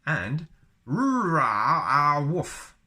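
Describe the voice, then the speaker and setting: A big hairy storybook alien roars in short wordless bursts. The voice growls and howls loudly, lifted well above ordinary male speech. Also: a little high, big pitch swings, drawn-out words, pitched above the performer's own voice, heavily acted. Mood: loud roaring. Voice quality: growling, howling.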